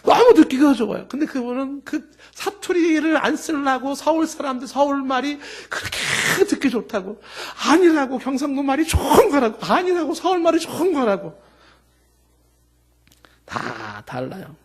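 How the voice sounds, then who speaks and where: A middle-aged male pastor preaching a Sunday sermon, running through long emphatic lists and swinging between loud, drawn-out peaks and soft, low endings. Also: big pitch swings.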